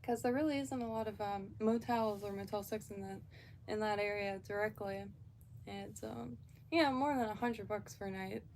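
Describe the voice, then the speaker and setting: A woman's voice: medium pitch, moderate pace, darkish tone, slight background noise. A woman from Florida, chatting casually and rambling on a live stream with lots of fillers and restarts.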